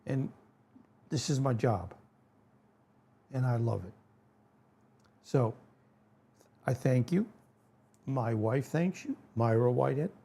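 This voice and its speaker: Man in his seventies, Rhode Island accent, casual rambling host, slow pace, gravelly, dark tone. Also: medium pitch. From New England. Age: 70s. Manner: rambling, folksy, frequent fillers and self-corrections. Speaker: channel host. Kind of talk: casual educational talk to camera.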